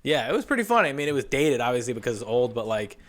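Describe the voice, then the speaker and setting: A man's voice: medium pitch, very animated, rapid-fire. An American man hosting a casual podcast, chatting and teasing with plenty of profanity. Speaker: podcast host. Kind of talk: casual chat, storytelling.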